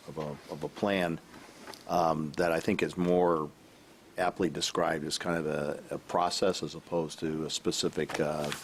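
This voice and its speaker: A man giving a halting report at a council meeting, full of fillers and restarts, while losing his voice. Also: very deep voice. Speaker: city staff member. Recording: faint background noise from a live stream.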